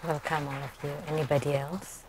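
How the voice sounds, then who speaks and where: A woman serving as emcee in a temple hall, leading a group practice in a measured, formal ceremonial tone. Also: low-pitched, little pitch movement, background noise. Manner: measured, formal liturgical delivery.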